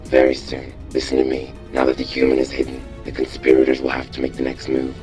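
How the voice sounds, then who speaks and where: A man voicing a mysterious robot informant in a video game, secretive and measured as he gives instructions. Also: a bass voice, monotone, a little mellow, soft background music. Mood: secretive, urgent. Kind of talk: video game character acting.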